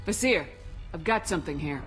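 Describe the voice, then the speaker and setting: An actress playing a focused, determined military commander, the heroine of a video game. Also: female voice, low voice, lively intonation, a little fast, a bit bright, soft background music. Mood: focused, matter-of-fact.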